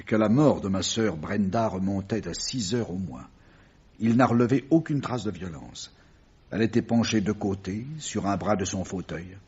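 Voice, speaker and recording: A bereaved, shaken brother from a mining family in a radio drama. His voice is very raspy and rises and falls a lot, earnest and halting. The sound is muffled and lo-fi. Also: on the low side, animated, a little mellow. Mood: haunted. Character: shaken retired tin-mining man, bereaved brother and witness. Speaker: actor in a radio mystery play. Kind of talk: French radio drama acting.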